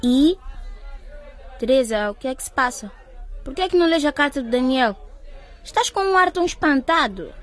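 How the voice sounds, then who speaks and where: A woman's voice: high-pitched, lively intonation, normal speed, long pauses, a bit bright. An actress playing a practical, impatient teenage schoolgirl who scorns romance, in a radio drama. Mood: astonished.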